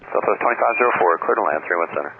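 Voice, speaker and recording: Fast low-voiced male pilot on radio. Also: lively intonation, gravelly. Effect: aviation radio. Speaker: airline pilot. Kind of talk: clipped radio readbacks. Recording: noisy narrowband cockpit radio transmission.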